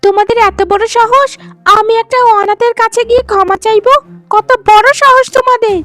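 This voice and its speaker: Very high shrill spiteful young wife acted by a woman. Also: a bit bright, no echo. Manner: shrill, scolding, defiant. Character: spiteful, arrogant youngest wife in a quarrelling household. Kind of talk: melodramatic cartoon voice acting. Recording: music behind the voice. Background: music.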